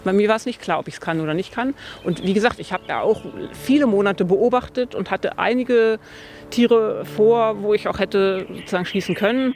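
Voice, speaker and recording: A woman in her fifties talks in a relaxed, thoughtful way about hunting, full of little fillers. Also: mid-range voice, normal speed, faint music behind. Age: 50s. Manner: thoughtful, lots of fillers like tatsächlich and also. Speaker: hunter interviewed about her hobby. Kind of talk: relaxed conversational storytelling. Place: outdoors by a field.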